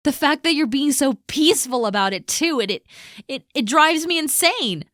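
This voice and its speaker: A woman voicing a caring, protective best friend in an audio roleplay, talking earnestly to the listener and often dropping to a soft hush. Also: high-pitched, expressive, moderate pace, dry recording, clean studio sound. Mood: indignant, protective.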